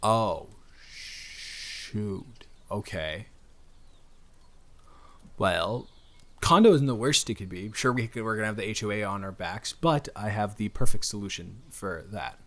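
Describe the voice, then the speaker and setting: A man's voice: on the low side, measured pace. A man improvising an audio roleplay as a friendly, slightly awkward young stranger, talking casually and earnestly to the listener with stammered restarts. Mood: friendly, curious.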